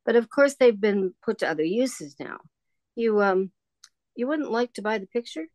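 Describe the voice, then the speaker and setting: A woman's voice: a little high, animated, moderate pace, darkish tone, no room sound, clean studio sound, lightly acted. A woman playing a kindly, rambling old shopkeeper in a radio play, hesitant and musing. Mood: wistful, chatty.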